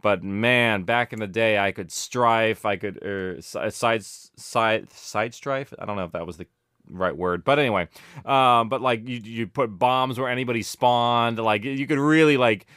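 A man speaks in a low, crisp voice with a very dry sound. He chats casually as a guest, rambling through his answers and often restarting or correcting his words. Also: unhurried, dry recording. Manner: animated rambling, self-correcting, peppered with "like" and "you know". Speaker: interview guest, audio drama producer. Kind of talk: casual interview chat.

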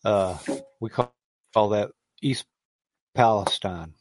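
A man's voice: fairly low pitch, slow, very noisy. Folksy man. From Southern Ohio. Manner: folksy, joking storyteller. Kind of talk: casual chat.

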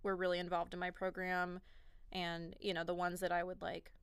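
A woman's voice: fairly low pitch, monotone, normal speed, clean tone. A woman co-hosting a podcast in a bubbly, giggly manner, with a youthful-sounding voice and casual chat. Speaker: podcast co-host.

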